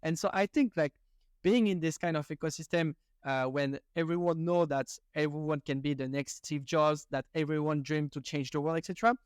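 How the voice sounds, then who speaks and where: A podcast host winds through long, meandering questions in a casual tone, his phrasing loose and a little off. His voice is slightly high and smooth, recorded dry and close. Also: fairly even intonation, fairly quick, no echo.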